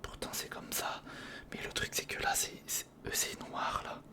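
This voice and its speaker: A man speaking in a breathy whisper, giving informal ASMR commentary while building something, muttering half to himself and half to the viewer. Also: normal speed. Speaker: ASMR video creator.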